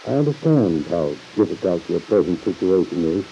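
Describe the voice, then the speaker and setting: An actor playing an earnest, patient uncle, speaking in a measured, kindly way in an old-time radio soap opera. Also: male voice, sits low, sing-song, gravelly, mellow, full character voice. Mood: gently concerned. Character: earnest, concerned uncle, a bank man talking gently to his niece. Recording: muffled hissy old radio recording.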